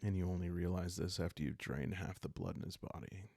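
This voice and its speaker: A man calmly narrating a solo role-playing game in a hushed, relaxing way, musing aloud as he goes. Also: deep voice, a little fast, vocal fry, breathy edge, no room sound, studio quality.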